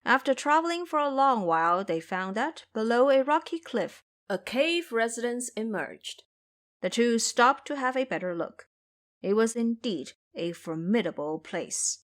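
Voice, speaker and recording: A woman's voice: fairly high pitch, expressive, unhurried. A woman giving dramatic scripted narration of a story as the narrator of an audio drama.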